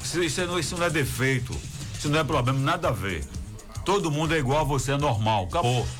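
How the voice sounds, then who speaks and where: A man hosting a radio show, chatting and joking fast in casual banter. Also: on the high side, lively intonation, a bit bright, faint music behind. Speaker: radio host.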